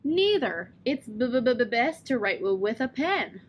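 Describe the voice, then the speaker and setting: A woman reads a young boy who stutters, repeating first sounds over and over before the word breaks free. The voice sits a little high and moves slowly. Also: big pitch swings, a little hiss or hum. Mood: joking. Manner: stuttering, slow and drawn out.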